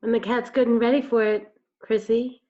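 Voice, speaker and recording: A woman's voice: on the high side, level tone, normal speed, natural voice. A woman playing a guarded, sardonic circus performer in a staged play reading, speaking dryly and curtly. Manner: dry, curt, sardonic. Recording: muffled video call.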